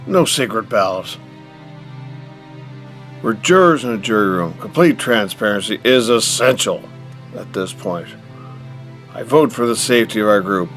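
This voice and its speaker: Pompous wealthy male patriarch, middle-aged, formal and imperious, low voice over music, audio drama. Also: animated, measured pace, long pauses, fairly bright tone. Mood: commanding. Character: stern, pompous, wealthy middle-aged father ruling the group. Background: music behind the voice.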